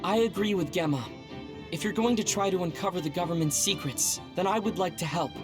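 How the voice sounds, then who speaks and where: Woman playing young female soldier, composed, polite, quietly determined, video game drama, clear music behind. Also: fairly low pitch, brisk, close to an everyday voice. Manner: calm, reasoned, polite. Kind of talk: English-dubbed video game cutscene acting.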